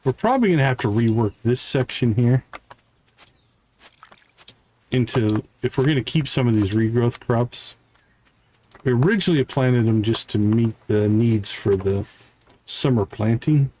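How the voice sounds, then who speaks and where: A man's voice: medium pitch, a little slow, long pauses, darkish tone, slight background noise, low-quality recording. A man hosting a gaming livestream, thinking aloud in casual, chatty commentary as he plays.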